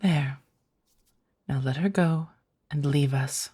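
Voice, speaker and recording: A woman acts out an ancient female vampire for an ASMR audio drama. The voice is very low and husky, with slow, formal, hushed phrasing. The vampire comes across as stern and fiercely protective. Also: heavily acted. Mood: tense, bargaining. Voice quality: breathy, husky. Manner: measured, formal, hushed delivery.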